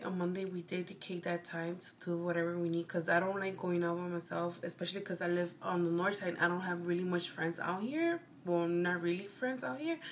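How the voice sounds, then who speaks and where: A woman's voice: fairly low pitch, slight background noise. Rambling Mexican American woman vlogger. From Chicago, United States. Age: 20s. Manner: rambling, meandering, often corrects herself. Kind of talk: casual chat to camera.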